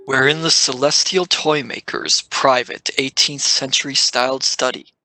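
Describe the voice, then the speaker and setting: A man's voice: medium pitch, bright, forceful tone, no echo, low-quality recording. A man reading scripted stage narration for an audio drama.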